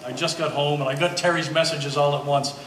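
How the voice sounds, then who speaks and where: A man playing an apologetic, friendly family acquaintance with a halting manner, in a staged play reading. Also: on the high side, fairly even intonation, a bit bright, distant mic, close to an everyday voice. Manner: hesitant, halting. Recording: echoey hall, faint background noise.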